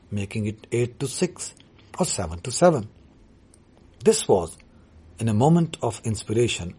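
A man whose first language is Urdu, talking about his writing as a poet in a measured, deliberate way. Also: fairly low pitch, warm tone, slightly rough. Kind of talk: reflective talk on his writing.

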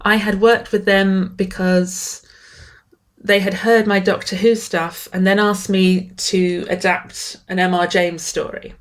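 A woman writer talking about her work in an interview, warm and excitable, laughing often and sometimes repeating her words. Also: mid-range voice, slow pace. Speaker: writer guest. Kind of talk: casual interview conversation.

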